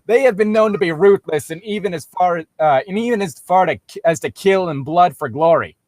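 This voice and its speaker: A grim fantasy guide, played by a man, speaking with dramatic emphasis and a shaken edge. The voice sits high for a man, in a dry recording. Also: fairly quick, a little mellow, no echo, close to an everyday voice. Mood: shaky warning.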